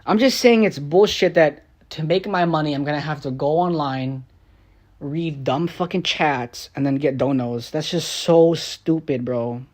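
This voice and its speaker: Young man ranting profanely on stream. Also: a little slow. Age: young adult. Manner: profane, frustrated, rambling; swings between low mutters and raised outbursts. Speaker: streamer and rapper. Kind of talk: rambling live-stream rant.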